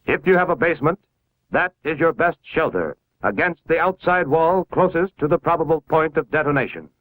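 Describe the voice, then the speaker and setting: A man's voice: on the high side, expressive, brisk, fairly bright tone, dry recording, low-quality recording. An American man narrating a scripted 1950s civil defense film in a formal, authoritative, instructional manner, on a vintage film soundtrack. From United States.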